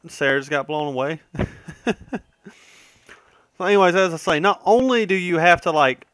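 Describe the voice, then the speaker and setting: A man's voice: on the high side, normal speed, a bit bright, smooth, dry recording. A man giving casual, rambling gaming commentary, conversational and opinionated, often trailing off and correcting himself. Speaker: gaming video host.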